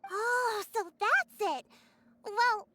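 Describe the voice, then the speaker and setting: A woman voicing a tiny, sweet, excitable childlike companion in a video game, with a squeaky voice and music behind. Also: extremely slow, crowd noise, exaggerated voice. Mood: curious, delighted.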